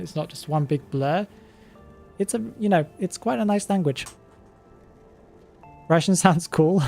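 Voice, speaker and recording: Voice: medium pitch, darkish tone. Chatty British streamer, faint music behind. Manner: chatty, chuckles often, thinks aloud. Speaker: game streamer. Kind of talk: live-stream chat reading viewer comments.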